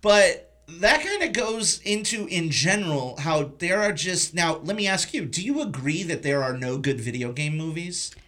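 A man's voice: fairly high pitch, lively intonation, normal speed, few pauses, fairly bright tone. A man hosting a casual film discussion, talking in an informal, blunt way. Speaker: podcast host.

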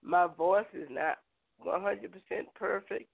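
A middle-aged woman hosting a prayer show, hoarse from a cold, chatting with listeners in a folksy, direct way. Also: on the low side, measured pace. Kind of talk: casual prayer show chat. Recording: tinny phone line, dry.

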